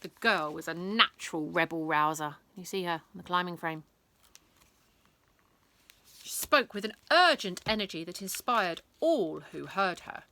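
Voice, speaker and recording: A woman reading a children's bedtime story aloud in a theatrical, expressive way, lingering on words. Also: fairly low pitch, measured pace.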